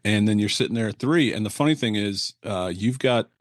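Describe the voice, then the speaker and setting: A man's voice: on the low side, no echo, clean studio sound. A man co-hosting a sports podcast, chatting casually and often restarting his sentences. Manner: halting, many restarts and fillers. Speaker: podcast co-host.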